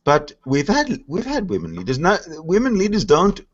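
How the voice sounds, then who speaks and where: A Canadian man hosting a casual panel talk, opinionated and animated, often stammering and restarting. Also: fairly high pitch, normal speed. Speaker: host. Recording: dull lo-fi audio.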